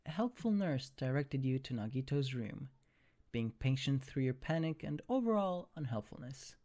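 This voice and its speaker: Mellow fan fiction narrator. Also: mid-range voice, big pitch swings, normal speed.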